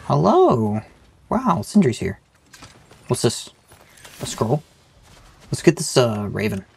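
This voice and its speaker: A man's voice: mid-range voice, huge pitch swings, takes it slowly, gravelly, a little mellow, slight background noise. A young man with a sick-sounding voice giving rambling, joking let's-play commentary. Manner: rambling, joking, self-deprecating. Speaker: gaming channel host.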